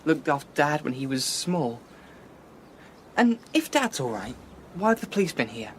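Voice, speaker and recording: A man's voice: fairly high pitch, sing-song, normal speed, slight background noise. A male actor playing a defensive, questioning schoolboy in a radio drama. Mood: guarded, anxious. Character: defensive, questioning English schoolboy, worried about his father.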